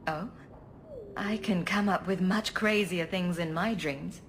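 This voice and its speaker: A low-voiced, concerned game heroine. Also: female voice, measured pace, a little hiss or hum. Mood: teasing. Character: concerned, direct woman companion and lover. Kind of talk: dramatic video game acting.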